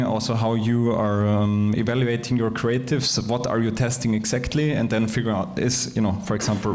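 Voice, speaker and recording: A man's voice: medium pitch, darkish tone. A man from Austria, a native German speaker, talking through his points on an industry panel, often ending phrases with "yeah". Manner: conversational, tags statements with "yeah". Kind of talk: conference panel discussion.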